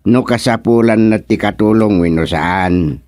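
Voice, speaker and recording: A man's voice: lowish voice, a little slow, a little mellow, no room sound, studio quality, lightly acted. A man playing a hesitant, questioning village chairman in a radio comedy-drama. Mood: puzzled. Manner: questioning, full of puzzled interjections. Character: male village chairman, easily puzzled, hesitant.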